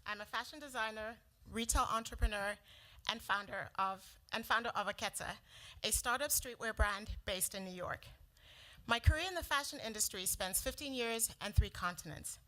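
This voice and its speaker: A middle-aged woman from South Africa whose first language is Sepedi, delivering a prepared startup pitch in a measured, earnest way. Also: fairly bright tone, low-quality recording.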